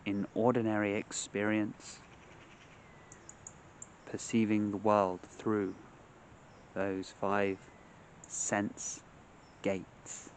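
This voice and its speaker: A man leading a guided meditation in measured, short phrases separated by long pauses, speaking outdoors. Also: on the low side, level tone, a little hiss or hum. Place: outdoors among trees.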